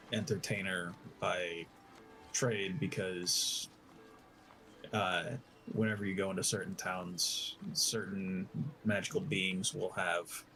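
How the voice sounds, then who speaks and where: A man telling an improvised story as a player in a tabletop roleplay game, halting and correcting himself as he goes. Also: sits low, pushed and bright, poor audio.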